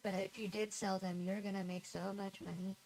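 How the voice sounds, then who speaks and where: A woman's voice: mid-range voice, level tone, fairly quick, darkish tone, slight background noise. Nasal woman chatting through a game stream. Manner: rambling, giggly, self-deprecating. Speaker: livestreamer. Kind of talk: casual gameplay chat.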